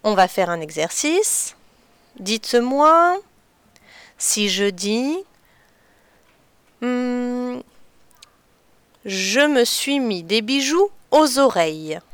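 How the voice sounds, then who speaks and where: A woman teacher patiently explaining to children in a clear, deliberate way. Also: mid-range voice, lively intonation, dry recording. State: slightly stuffy from a cold. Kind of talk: children's lesson on figurative expressions.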